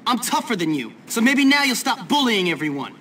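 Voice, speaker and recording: An actor playing a cocky, brash American teenage schoolboy brawler in a video game, with sarcastic delivery. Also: male voice, very high voice, big pitch swings, fairly quick, fairly bright tone, a little hiss or hum, full character voice. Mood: triumphant boasting. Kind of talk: video game cutscene acting.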